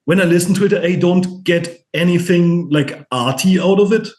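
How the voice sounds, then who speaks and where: A man with a German accent talks as a podcast guest, his voice sitting fairly high for a man. He stumbles and restarts a lot and swears freely. The recording carries a roomy echo. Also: moderate pace, a little mellow. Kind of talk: casual conversation.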